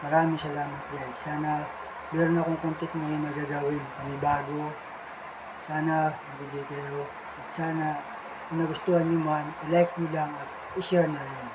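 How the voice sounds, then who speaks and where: A man's voice: a little high, level tone, normal speed, noisy background. A man casually hosting a puppet show, addressing the audience in a friendly, repetitive way. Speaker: puppeteer host.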